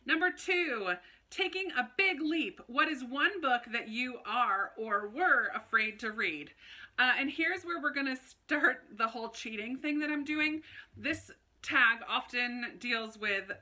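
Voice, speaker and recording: A woman chatting casually to camera like a book vlogger, in an animated, informal way with little self-interrupting asides. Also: on the high side, a little slow. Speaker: book review video host. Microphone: phone camera recording.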